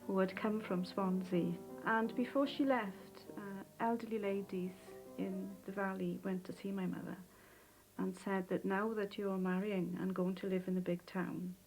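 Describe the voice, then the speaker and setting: A woman in an interview, talking thoughtfully and softly about family memories, her voice sometimes dropping low. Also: gravelly, rough recording. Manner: soft, thoughtful, sometimes drops near a whisper. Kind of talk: reflective interview recollection.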